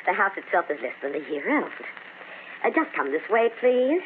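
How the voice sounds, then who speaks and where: Actress as a fussy, wildly swooping radio caretaker. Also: female voice, medium pitch, sing-song, normal speed, close to an everyday voice. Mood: busy, showing around. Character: fussy, evasive female caretaker eager to rent. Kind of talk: old-time radio drama acting. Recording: tinny, noisy old radio recording.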